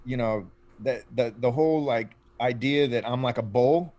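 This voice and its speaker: A man in his late thirties, a guest artist who talks in a halting, hesitant way. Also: mid-range voice, warm tone, no echo. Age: 30s. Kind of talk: casual podcast chat.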